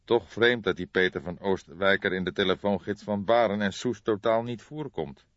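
A man voicing an old, grey-haired police detective, patient and measured, in an audiobook. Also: on the low side, no room sound, pitched above the performer's own voice, lightly acted. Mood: concerned. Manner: measured, thoughtful, hesitant hums. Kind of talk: audiobook character dialogue. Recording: dull lo-fi recording.

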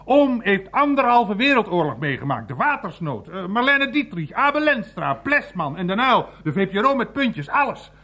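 Bluff old uncle, high swooping voice. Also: male voice, sing-song, sharp tone, heavily acted. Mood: boastful storytelling. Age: older. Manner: mocking, blustering, drawn-out emphatic phrasing. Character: bluff, teasing, worldly old uncle, earthy and theatrical. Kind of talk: radio play acting.

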